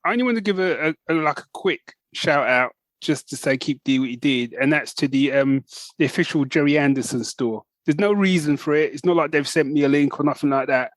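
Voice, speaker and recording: A man's voice: a little high, brisk, no room sound. A man co-hosting a podcast, teasing his partner in playful casual banter. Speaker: podcast co-host. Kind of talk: casual chat.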